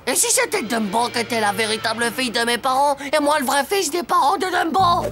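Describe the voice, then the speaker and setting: A woman voicing a cheeky, dramatic schoolboy who grumbles in slang, in an animated series. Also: sits high, fairly quick, music in the background. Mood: bothered. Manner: slangy, exaggerated complaining. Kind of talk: French animated series dialogue.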